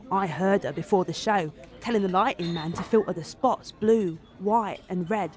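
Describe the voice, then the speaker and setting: A woman's voice: mid-range voice, a little mellow, lightly acted. A woman playing a blunt, cynical Parisian survivor who narrates wartime memories in an audio drama monologue. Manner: wry, blunt.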